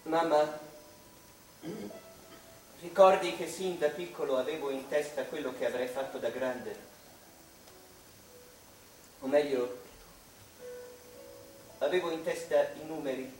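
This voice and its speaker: An actor playing a melancholy male mathematician confiding in his mother, in a wistful, halting, theatrical monologue. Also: fairly high pitch. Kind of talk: dramatic stage monologue. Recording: echoey room, distant mic, music behind.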